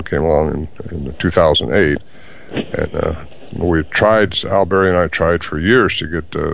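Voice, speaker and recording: An older man answering interview questions in a halting way, with many fillers. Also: sits low, vocal fry, slight background noise. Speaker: guest. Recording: dull lo-fi audio.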